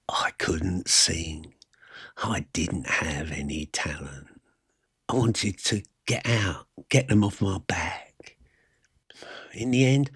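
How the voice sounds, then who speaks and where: A man narrating a first-person story aloud, reading literary prose with dramatic pauses and a husky voice. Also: fairly low pitch, a bit bright, no room sound, studio quality.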